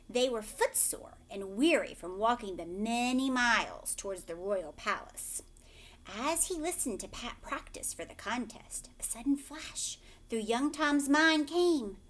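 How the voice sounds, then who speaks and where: A woman teacher reads a children's story aloud, pausing to explain things simply. Her voice is fairly high and very lively in pitch, and she goes at a slow, deliberate pace. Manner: explains words and checks in with young listeners. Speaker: teacher reading aloud to a class.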